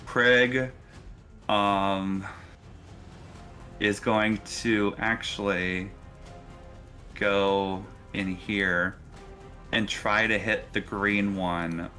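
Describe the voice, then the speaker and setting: A man's voice: lowish voice, steady tone, drawn-out words, long pauses, pushed and bright, soft background music. A man narrating a tabletop game as game master, improvising and talking players through rules and turns.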